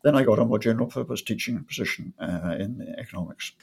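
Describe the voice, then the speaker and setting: An older man from North Wales talks through his past. His voice is gravelly and slightly husky, set fairly low. He is measured and thoughtful, often pausing on ums and restarting his sentences. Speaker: guest economist. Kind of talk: conversational interview.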